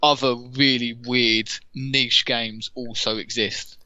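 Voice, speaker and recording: A man's voice: medium pitch, little pitch movement, slow pace, sharp tone. A man co-hosting a casual podcast, earnest and repeating himself as he thinks. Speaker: podcast co-host. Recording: dull, dry close mic.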